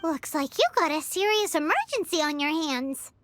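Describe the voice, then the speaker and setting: Childlike female fairy sidekick for a video game. Tiny, perky, exclamatory, very high-pitched, lively intonation. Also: normal speed, soft background music. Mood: curious. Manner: perky, exclamatory, speaks of self in third person. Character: small cheerful childlike fairy companion, female, excitable and chatty. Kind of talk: scripted video game dialogue.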